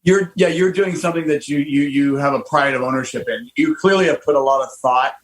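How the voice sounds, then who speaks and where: A man in his fifties hosting a casual radio interview, enthusiastic and animated, with frequent fillers and restarts. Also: a little high, a little fast, poor audio. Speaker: host, car designer.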